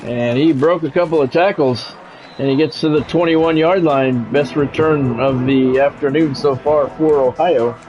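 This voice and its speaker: Higher-voiced man calling a football video game. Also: moderate pace, darkish tone, lo-fi sound, soft background music. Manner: announcer-like calls with casual asides. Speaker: streamer and play-by-play commentator. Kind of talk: live sports game commentary mixed with chat.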